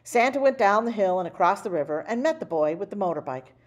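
A woman reads a picture book aloud to children. Her pitch moves in lively swings at a middling height, and her delivery is animated and expressive. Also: quick pace. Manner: animated, acting out dialogue. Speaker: storybook reader.

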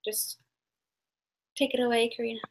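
A woman's voice: a little high, level tone, normal speed. A young woman interviewing a friend over a video call, reading out questions with a teasing manner. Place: bedroom. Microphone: webcam mic on a video call.

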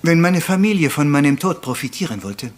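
Actor as shrewd, courteous detective, higher-pitched. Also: male voice, animated, natural voice. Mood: calm, reasoning. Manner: measured, sprinkles French phrases. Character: courteous, shrewd Belgian male detective, middle-aged. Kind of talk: German crime radio play acting.

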